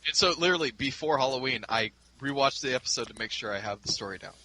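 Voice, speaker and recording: Casual podcast co-host, bright voice, rambling delivery, faint room noise. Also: male voice, medium pitch, animated, low-quality recording. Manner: rambling, laughs often, halting restarts. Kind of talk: casual fan chat.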